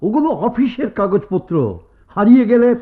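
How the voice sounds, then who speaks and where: Agitated husband, very high strained pitch. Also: male voice, big pitch swings, normal speed. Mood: urgent. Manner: melodramatic, demanding. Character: agitated, domineering husband and father. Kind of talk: Bengali radio drama acting. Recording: tinny lo-fi radio play.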